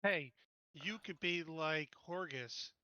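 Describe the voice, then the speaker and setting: Bright, higher-voiced man in casual game chat. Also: takes it slowly, dry recording, dull audio. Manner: informal, often says "dude". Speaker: tabletop game player.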